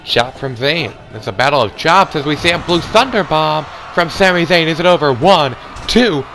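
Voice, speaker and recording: A man doing hoarse, excitable play-by-play commentary on a wrestling match, calling moves and near-falls. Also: on the high side, moderate pace, soft background music. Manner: excitable, calls moves and counts.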